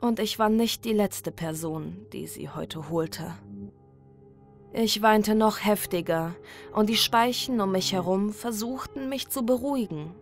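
A woman narrating a scripted first-person horror story in a measured, dramatic manner. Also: mid-range voice, faint music behind.

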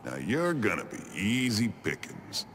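An actor playing a big, gruff, world-weary demon brawler in a video game, with a gravelly, deadpan delivery. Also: male voice, fairly low pitch. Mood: wry warning. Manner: deadpan, unhurried. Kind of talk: video game character dialogue. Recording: game audio with background noise.

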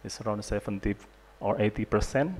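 A man in his thirties with an Indonesian accent, giving a conference lecture on a handheld mic in a large hall, halting and self-deprecating with many fillers. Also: on the low side, a little mellow, slight background noise. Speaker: guest lecturer, urologist.

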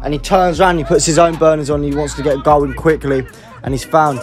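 A man giving live amateur football play-by-play, excitable and prone to raising his voice at big moments. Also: on the high side, brisk. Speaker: football match commentator. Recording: music and crowd noise behind, outdoors. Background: music and outdoor crowd sounds.

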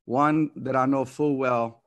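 A man's voice: mid-range voice, steady tone, slow pace, no room sound. A middle-aged man from New York reading an opening statement as committee chair from his notes over a video call. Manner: reading from notes, with fillers and restarts. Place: home office, indoors.

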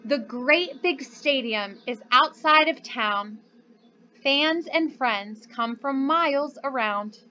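Casting: young female children's teacher, high-pitched, unhurried delivery, encouraging manner. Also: lively intonation, pushed and bright, highs cut off. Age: young adult. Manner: encouraging, addresses young children simply and clearly. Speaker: preschool teacher. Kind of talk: children's lesson and storybook read-aloud.

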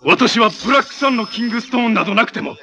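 A man's voice: very high-pitched, racing, pushed and bright, a little hiss or hum. A man playing a haughty armored villain from a tokusatsu TV show, heir to an evil empire, making grandiose, commanding, theatrical declarations. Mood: defiant. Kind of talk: Japanese tokusatsu TV villain acting. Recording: clip from 1980s TV with music behind.

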